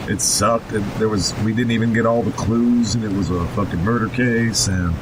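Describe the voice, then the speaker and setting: A man's voice: fairly even intonation, moderate pace. A man co-hosting a podcast in casual, joking banter with sardonic asides and easy swearing. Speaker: podcast co-host. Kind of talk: casual banter while reading and mocking an online horror story. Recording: noisy, constant low rumble.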